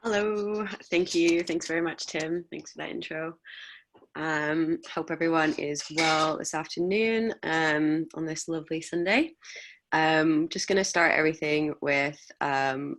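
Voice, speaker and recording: A woman from Canada moderates an online panel in a very low voice with a gravelly fry. She talks gently and calmly, pausing often with ums. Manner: gentle, calm, halting with ums.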